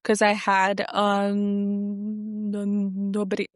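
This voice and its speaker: A young adult woman who stutters, speaking haltingly with many fillers as an interview guest. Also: mid-range voice, completely flat delivery, clean tone, no echo, studio quality. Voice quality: stutter with repeated sounds and blocks. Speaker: guest, software engineer. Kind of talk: conversational interview.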